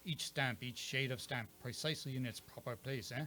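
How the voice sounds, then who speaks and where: A man's voice: mid-range voice, fairly quick, pushed and bright, close to an everyday voice. Radio mystery detective, male actor: French accent, courtly, self-assured, precise and theatrical, mid-range voice. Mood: genial, explaining. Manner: precise, theatrical, savoring his explanations. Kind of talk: radio mystery play acting.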